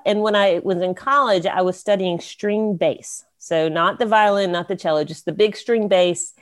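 Bubbly woman. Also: medium pitch. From the Carolinas. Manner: bubbly, laughing, rambling. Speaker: songwriter guest. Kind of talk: interview storytelling.

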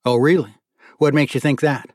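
A man voicing a friendly, retired older man, gentle and plain-spoken, in an audiobook story. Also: medium pitch, steady tone, normal speed, dry recording, clean studio sound, lightly acted. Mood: kindly, encouraging.

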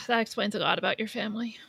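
A woman's voice: mid-range voice, a little fast, creaky, pushed and bright, husky, no echo. Blunt woman chatting, dry close sound. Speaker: podcast co-host. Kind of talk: casual film discussion.

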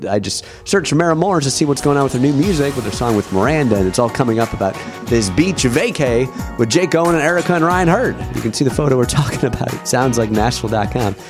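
A man's voice: medium pitch, fast, few pauses. Upbeat male country radio host. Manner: energetic, promotional radio patter. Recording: music bed under the voice.

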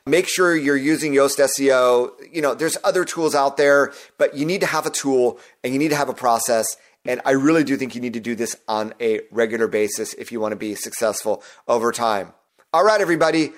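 A man hosting his own podcast, talking listeners through his process in an earnest, instructive way, his voice gone hoarse. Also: medium pitch, fairly quick. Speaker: podcast host. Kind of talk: solo podcast monologue.

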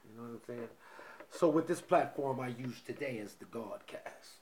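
A man hosting a podcast in loose, profane casual chat, getting loud and animated when excited. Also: medium pitch, moderate pace, very noisy. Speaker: podcast host.

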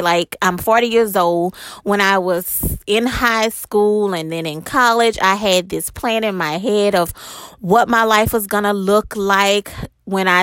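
A woman's voice: mid-range voice, dry recording. Candid woman in her late thirties. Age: 30s. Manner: candid, reflective, with frequent fillers. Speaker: podcast host. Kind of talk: solo podcast monologue.

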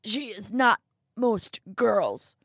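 A woman voicing a sinister, irascible old male villain in an audiobook, with a slow, grumbling, contemptuous delivery. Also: fairly low pitch, big pitch swings, creaky, darkish tone, dry recording, clean studio sound, full character voice. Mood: scheming.

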